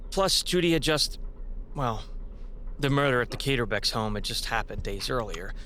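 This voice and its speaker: A man's voice: mid-range voice, lively intonation, moderate pace, pushed and bright, a little hiss or hum. A man playing a hesitant, earnest young man in an audio drama. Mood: hesitant, troubled.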